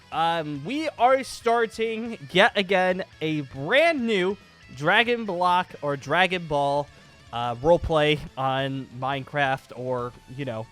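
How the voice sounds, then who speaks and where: A man hosting a casual, excitable gaming announcement vlog in a rambling, emphatic, informal way. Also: a little high. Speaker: YouTube host announcing a new series. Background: music.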